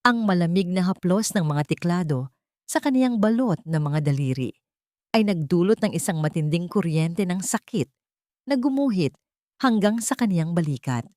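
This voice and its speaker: Woman narrator with animated, dramatic delivery. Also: fairly low pitch, normal speed, dry recording, studio quality. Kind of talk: dramatic scripted story narration.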